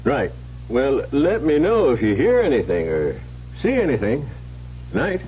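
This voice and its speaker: An actor playing a plainspoken, folksy small-town sheriff with colloquial country grammar in an old-time radio drama. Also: male voice, medium pitch, wildly swooping pitch, measured pace, mellow. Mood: amiable, folksy. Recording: dull, noisy old radio recording.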